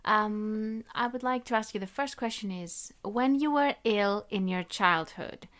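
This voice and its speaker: A woman's voice: mid-range voice, measured pace, fairly bright tone. A woman hosting a podcast, introducing her guest and reading out interview questions. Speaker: podcast host.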